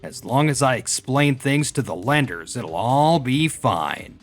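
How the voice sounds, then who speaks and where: An actor playing a smug, blustering company director who is prone to panic, in a manga dub. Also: male voice, medium pitch, animated, measured pace, music in the background, lightly acted. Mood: smug, dismissive.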